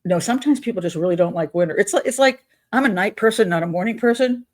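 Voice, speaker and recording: A woman's voice: medium pitch, lively intonation, quick pace, darkish tone. An older woman hosting a casual advice vlog, talking in a chatty, candid, rambling and self-deprecating way. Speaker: advice show host on YouTube.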